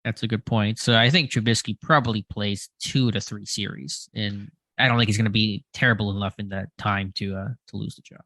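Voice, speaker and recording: A man co-hosting a casual sports podcast, tossing quick questions and agreements back and forth. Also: fairly low pitch, steady tone, sharp tone, no room sound. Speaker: podcast co-host.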